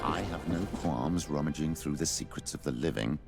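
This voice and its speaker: An actor playing a grave, principled older clergyman in a video game, speaking solemnly in a gravelly voice. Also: very deep voice, normal speed, loud music behind the voice. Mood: reluctant, solemn.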